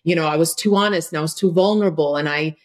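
A woman's voice: low-pitched, quick pace. A middle-aged woman from Croatia, a podcast guest talking candidly and openly, often saying "you know" and "like". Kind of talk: conversational interview.

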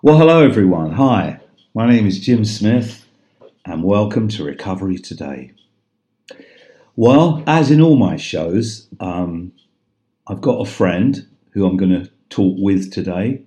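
A man hosting a radio interview show, chatty and halting, with frequent agreeing interjections. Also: low-pitched, animated, warm tone. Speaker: host. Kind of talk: casual interview.

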